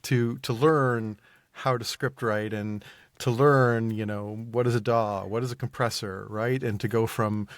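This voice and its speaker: A man's voice: lowish voice, steady tone, unhurried, dry recording. A man chatting casually as a podcast guest, often repeating and rephrasing his words as he explains. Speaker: podcast guest, audio drama creator and voice actor. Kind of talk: casual interview conversation.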